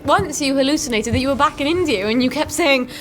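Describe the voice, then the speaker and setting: A woman plays a defiant young mother in a video game drama, her voice high and emotional. She is sharp-tongued and guarded with a desperate edge, and music plays clearly behind her. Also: quick pace, close to an everyday voice. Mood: light, teasing. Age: young adult. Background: clear background music.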